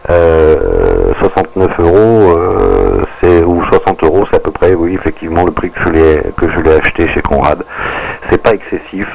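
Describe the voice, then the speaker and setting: A man's voice: low-pitched, lively intonation, normal speed, gravelly, darkish tone. A man talks casually over amateur radio. He feels his way through sentences and repeats phrases. The audio is dull and lo-fi. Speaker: amateur radio operator recording the contact. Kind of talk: casual ham radio chat.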